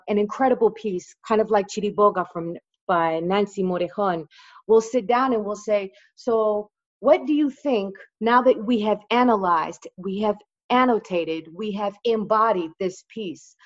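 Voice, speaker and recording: Earnest woman teacher, dull audio. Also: medium pitch. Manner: earnest, emphatic, reflective. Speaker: Spanish teacher. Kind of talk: conversational interview.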